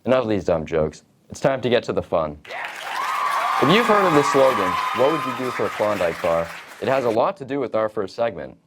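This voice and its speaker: Low-voiced teenage boy hosting, noisy crowd behind. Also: big pitch swings, brisk. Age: teens, high school student. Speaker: student co-host of a school holiday show. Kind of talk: scripted comedy monologue banter to an audience.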